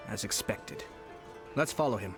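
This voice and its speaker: A calm scheming nobleman. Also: fairly low pitch, slow, music in the background. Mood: composed. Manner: smooth, composed, quietly confident. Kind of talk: game voice acting.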